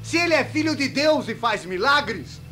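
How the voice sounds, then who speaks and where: Roman soldier, radio drama: gleeful mocker, very high voice, pompous mock-ceremonial delivery. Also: male voice, lively intonation, normal speed, crowd noise. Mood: gleeful scheming. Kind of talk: old-fashioned radio drama acting.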